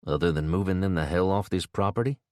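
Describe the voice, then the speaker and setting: A man doing a calm menacing gunman. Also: low-pitched, quick pace, dry recording, clean studio sound, close to an everyday voice.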